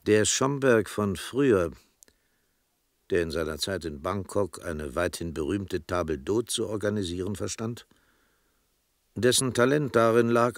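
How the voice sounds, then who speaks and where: The storyteller of a radio play. His fairly low voice delivers literary narration in a measured, wry manner, close and free of room sound. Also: no echo.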